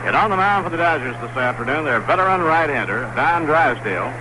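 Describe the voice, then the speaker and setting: A man doing play-by-play commentary of a baseball game on radio, steady and even with quick rises on plays. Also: a little high. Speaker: play-by-play announcer. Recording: noisy old 1960s radio broadcast.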